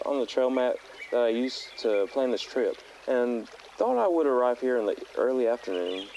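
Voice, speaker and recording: A man playing a thoughtful teenage hiker who speaks haltingly and earnestly into a personal audio diary. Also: mid-range voice, a little mellow, lightly acted, poor audio. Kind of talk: found-footage audio log monologue. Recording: outdoor tape log with nature noise.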